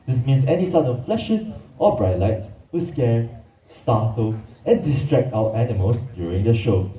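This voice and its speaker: A man's voice: mid-range voice, very animated, warm tone, slight background noise. Theatrical man echoing over an amphitheater PA. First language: Mandarin. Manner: theatrical, drawn-out, hypes the crowd. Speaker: animal show presenter. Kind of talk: live show hosting and dramatic narration. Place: outdoor amphitheater at night. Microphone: PA system heard from the audience. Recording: echoey PA sound from far off.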